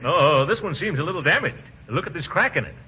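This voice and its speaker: A pompous, blustering middle-aged uncle from a 1940s radio comedy. His voice is bright and swings widely as he fusses. It sits in a muffled, hissy old broadcast recording. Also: fairly high pitch, animated, breathy edge, full character voice. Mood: flustered. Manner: blustering, flustered, with drawn-out exclamations.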